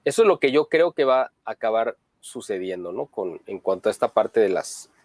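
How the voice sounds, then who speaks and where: A man's voice: fairly low pitch, moderate pace, dry recording. Dry-sounding man giving political opinions. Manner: steady, opinionated, pointed. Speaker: news commentary co-host. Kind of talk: political commentary chat.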